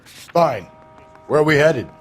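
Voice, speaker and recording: An actor playing a cynical, hard-drinking veteran American homicide detective in a crime game, gruff and sardonic. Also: male voice, a little high, expressive, takes it slowly, soft background music. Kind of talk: dramatic video game acting.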